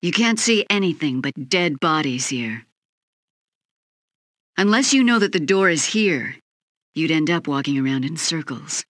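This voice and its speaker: An actress playing a cool, cynical wind demon in an anime game, aloof and sardonic. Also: female voice, sits low, lively intonation, unhurried, pauses often, fairly bright tone, no room sound, studio quality, full character voice. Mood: cool, guarded.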